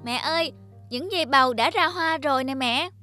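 A high-voiced, cheerful young child. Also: female voice, moderate pace, bright, forceful tone, no room sound, studio quality, faint music behind. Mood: happy, wondering. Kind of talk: animated folktale dialogue.

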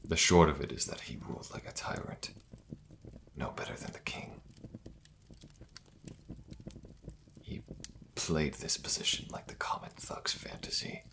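A man playing an idealistic, protective crime boss in an ASMR fantasy roleplay, speaking slowly and intimately in a breathy half-whisper. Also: rumbling low, fairly bright tone, exaggerated voice, low-quality recording. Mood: grave, reflective. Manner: slow, intimate, measured, formal wording.

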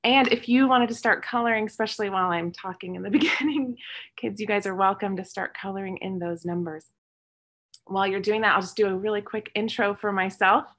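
A woman's voice: medium pitch, fairly bright tone. Cheerful woman teaching kids on webcam. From born and raised in English-speaking countries, Palestinian roots. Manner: cheerful and encouraging, repeats words slowly for kids to follow. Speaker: children's storytime host and Arabic teacher. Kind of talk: teaching numbers to young children over a video stream. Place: home room with shelves of toys and books. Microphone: webcam at close range, video call.

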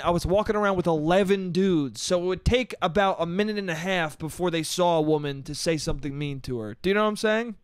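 A man's voice: a little high, clear, resonant tone, dry recording, studio quality. A young man in his twenties hosting a solo podcast in a small home room, talking loosely and profanely, a little congested. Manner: loose, profane, rambling, laughs at own jokes. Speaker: podcast host. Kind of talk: casual solo podcast monologue. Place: small bedroom studio. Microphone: boom-arm studio mic up close.

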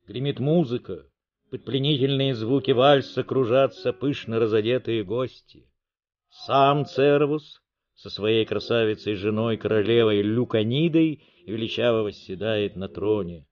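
A man's voice: medium pitch, measured pace, highs cut off. A man narrating a story in a dramatic, theatrical, declamatory way.

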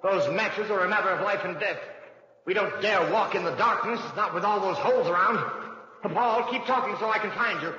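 Forceful, domineering radio villain. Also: male voice, high-pitched, normal speed. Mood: alarmed, frantic. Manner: sharp, commanding, dramatic. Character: domineering male murderer, impatient, increasingly frantic. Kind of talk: 1940s radio melodrama acting. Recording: dull 1940s radio, cavernous echo.

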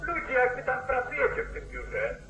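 An actor playing an irate, demanding farmer calling the sheriff in an old 1940s radio play. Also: male voice, very high voice, wildly swooping pitch, normal speed, pushed and bright, exaggerated voice. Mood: angry, threatening. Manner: demanding, blustering. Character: irate, self-interested Southern farmer phoning the sheriff. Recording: old radio play, slightly roomy, telephone-like sound.

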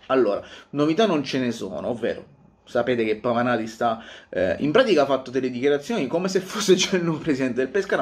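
Animated man vlogging casually about football. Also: medium pitch. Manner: animated, theatrical, repeats phrases for emphasis. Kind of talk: casual fan vlog to camera. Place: small home room, indoors.

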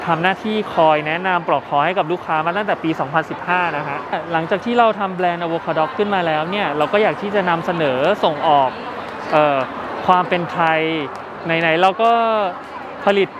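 High-voiced man pitching at an expo. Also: lo-fi sound. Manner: friendly and explanatory, with many filler pauses. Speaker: brand founder presenting products. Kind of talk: casual product pitch. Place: trade show booth, indoors. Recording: noisy expo hall.

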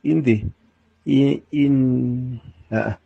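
An older man reading a public speech at a lectern, halting, stumbling and repeating himself. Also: medium pitch, steady tone, creaky, slightly rough, no echo. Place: on stage at a lectern. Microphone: podium microphones. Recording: dull lo-fi clip of a speech.

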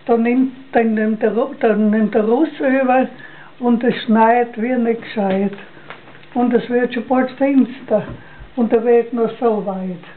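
Casting: older woman, Styrian Austrian accent, fairly high voice, unhurried amateur poetry recital with halting repeats, thin muffled home recording. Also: steady tone. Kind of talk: dialect poem recitation from memory. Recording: dull lo-fi home recording, noisy.

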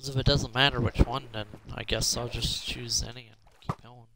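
Male gamer, mid-pitched, thinking aloud in a casual mutter over audible noise. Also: fairly even intonation, normal speed. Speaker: video game player. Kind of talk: casual gameplay commentary. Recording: clear background noise.

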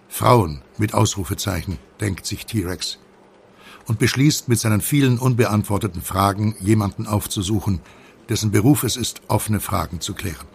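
Gravelly male thriller narrator. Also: fairly low pitch. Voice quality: gravelly, creaky. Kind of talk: audio drama narration in present tense. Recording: studio narration over noisy ambience.

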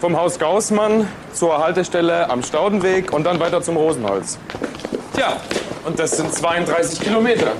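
An actor playing a sceptical, matter-of-fact junior detective, reporting in a wry way in a crime radio drama. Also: male voice, on the high side, animated, moderate pace, background noise, close to an everyday voice.

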